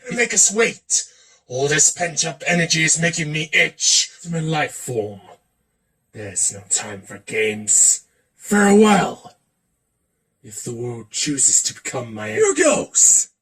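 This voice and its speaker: A man's voice: high-pitched, a bit bright, recorded from a distance. A man performing theatrical character voices in a voice acting reel, switching from one to another. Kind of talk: voice acting demo reel of game and cartoon character impressions.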